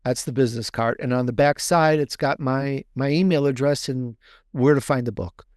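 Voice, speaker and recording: A man's voice: medium pitch, steady tone, normal speed, darkish tone, no room sound, studio quality. A man talking casually as a podcast guest, chatty and rambling, often repeating and restarting his phrases. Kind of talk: casual, conversational interview answer.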